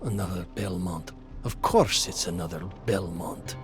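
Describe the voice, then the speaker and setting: Imperious vampire lord, brooding, grave, theatrical game villain, music behind. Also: male voice, deep voice, unhurried. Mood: scornful, commanding. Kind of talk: dramatic game cutscene acting.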